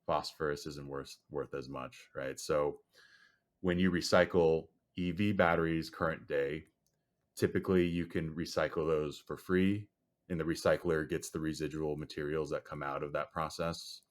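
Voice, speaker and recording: A man whose first language is Chinese, answering podcast interview questions in a measured way with frequent "you know" fillers. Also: rumbling low, fairly even intonation. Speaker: guest, storage company executive.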